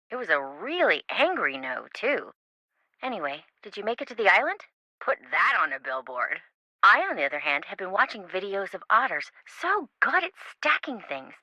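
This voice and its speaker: A bubbly, quirky young woman from a video game, teasing and theatrical. Her pitch leaps around in a bright, forward voice. The sound is dry, with no room to it. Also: no room sound, low-quality recording. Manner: playful, theatrical, joking.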